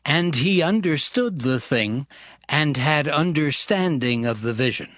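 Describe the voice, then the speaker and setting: Slow, expressive male scripture narrator. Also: fairly high pitch, dry recording. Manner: formal, deliberate. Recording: slightly dull, dry sound.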